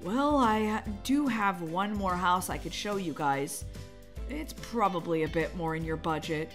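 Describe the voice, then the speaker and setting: A woman's voice: lowish voice, expressive, measured pace, faint music behind. A woman playing an upbeat, pushy real-estate agent with a chirpy sales manner in a game roleplay story. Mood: coaxing.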